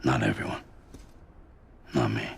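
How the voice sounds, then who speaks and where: An actor playing a withdrawn, weary courier in a game cutscene, speaking in terse, subdued lines with a gruff voice. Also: male voice, fairly even intonation, unhurried, a little hiss or hum, full character voice. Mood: bleak, resigned. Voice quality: deep, gravelly. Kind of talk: dramatic video game cutscene acting. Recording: music under the voice.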